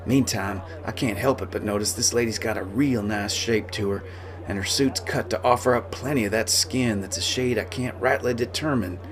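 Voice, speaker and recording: A man's voice: lowish voice, normal speed, a crowd in the background. A man reading a first-person novel as a folksy cowboy storyteller, in rustic dialect. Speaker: author reading his own novel.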